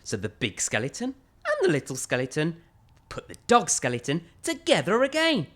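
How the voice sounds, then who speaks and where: A woman's voice: sits low, huge pitch swings, moderate pace. A woman storyteller reading a children's storybook expressively, with theatrical, emphatic phrasing.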